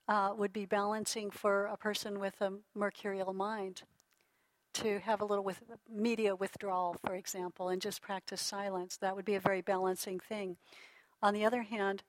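Calm, reflective woman lecturer, dry sound. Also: medium pitch, moderate pace, no room sound, poor audio. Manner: calm and reflective, with frequent restarts and soft laughs. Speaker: lecturer on holistic healing. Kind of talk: relaxed teaching talk to a class.

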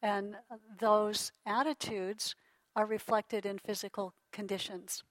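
Calm, reflective woman lecturer, dry sound. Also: mid-range voice, slow, no echo, rough recording. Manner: calm and reflective, with frequent restarts and soft laughs. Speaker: lecturer on holistic healing. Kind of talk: relaxed teaching talk to a class.

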